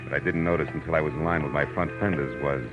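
An actor playing a tough, sardonic private eye in a 1940s radio noir, wry, clipped and world-weary. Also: male voice, rumbling low, fairly even intonation, fast talker, over music. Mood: wry narrating. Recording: muffled vintage radio recording.

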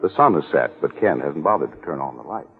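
A man working as a vintage radio announcer, emphatic and measured, with a widely swinging pitch. The voice comes through tinny and somewhat husky, like an old broadcast. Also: lively intonation, faint music behind. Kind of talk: 1940s radio announcing, scripted ad and story narration.

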